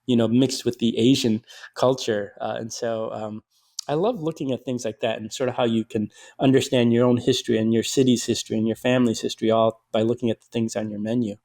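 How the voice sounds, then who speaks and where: A middle-aged man from Detroit, a writer and filmmaker, chatting in an interview as an animated storyteller with lots of fillers and restarts. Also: mid-range voice, a little fast. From Detroit, USA. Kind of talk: conversational interview.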